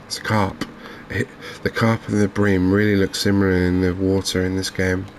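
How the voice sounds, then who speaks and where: A man giving calm, understated, softly spoken ASMR commentary on a video game, in an unhurried way. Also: sits low, a little hiss or hum. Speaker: gaming video host.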